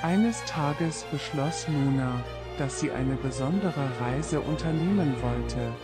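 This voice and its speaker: Male narrator for children's bedtime fairy tales, slightly high register, very measured delivery. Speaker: storyteller. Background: orchestral string serenade music.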